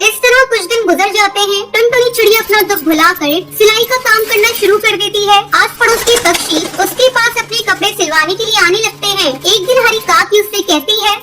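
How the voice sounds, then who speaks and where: A woman's voice: extremely high pitch, a little fast, few pauses. A woman narrating a scripted children's cartoon story.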